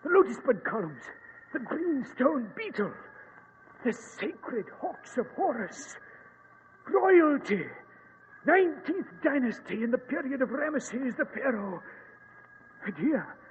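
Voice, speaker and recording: A man playing an imperious, excitable gentleman archaeologist in a theatrical old radio drama style. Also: very high-pitched, slow, long pauses, long reverb tail, full character voice. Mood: excited, urgent. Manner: theatrical, dismissive, exclaiming. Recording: dull, hissy old radio recording.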